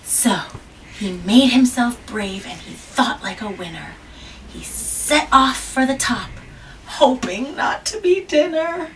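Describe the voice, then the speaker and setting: A woman reading a children's picture book aloud, gentle, with dramatic whispers and asides. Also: on the high side, lively intonation, takes it slowly. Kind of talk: read-aloud storytelling. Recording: distant mic with background hiss.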